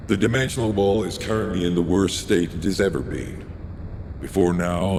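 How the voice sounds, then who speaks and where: A man playing a grave, commanding military leader in a video game, stern, formal and deliberate. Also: low-pitched. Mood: solemn, resolute. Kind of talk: video game cutscene acting. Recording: echoey hall reverb, background ambience noise.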